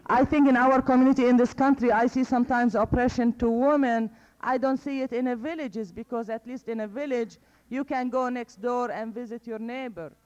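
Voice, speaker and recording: A woman's voice: fairly high pitch, steady tone, fairly quick, a little mellow. A woman speaking at a conference, making an earnest and persuasive case and often checking in with "okay?". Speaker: conference panelist. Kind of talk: impassioned public talk. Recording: dull lo-fi recording.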